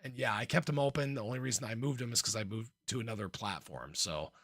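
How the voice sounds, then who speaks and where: A man with a gravelly, slightly hoarse voice at a middling pitch talks casually as a livestream host. He is informal and playful, often clearing his throat. The sound is dry and close, with no room to it. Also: fast, a bit bright, no room sound. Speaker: livestream host, crypto commentator. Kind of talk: casual livestream chat, reading and answering viewer comments.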